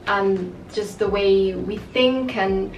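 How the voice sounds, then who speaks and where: A woman's voice: mid-range voice, slow, faint music behind. A young woman, raised in the UK, chatting candidly to camera with lots of filler words. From half Japanese, raised in the UK. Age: young adult. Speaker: vlogger. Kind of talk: casual chat to camera. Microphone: far camera mic. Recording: echoey room, distant mic, noisy.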